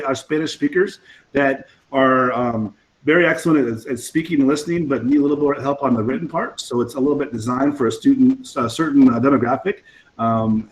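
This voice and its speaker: A man, an assistant principal who is losing his voice and sounds hoarse, presenting a list of school programs with frequent "um"s. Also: medium pitch, darkish tone, rough recording. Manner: listing school programs with many ums.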